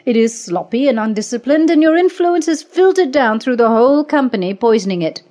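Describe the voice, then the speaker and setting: A woman's voice: on the high side, animated, a little fast, mellow, pitched above the performer's own voice, close to an everyday voice. A woman voicing a cold, domineering female assessor who speaks in a clipped, commanding way.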